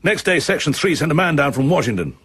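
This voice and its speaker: Brisk, husky British chief of staff. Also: male voice, a little high, very animated, fairly bright tone, no echo, clean studio sound, full character voice. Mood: puzzled, brisk. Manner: brisk, precise, informative. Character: efficient, level-headed male chief of staff, military officer. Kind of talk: radio drama acting.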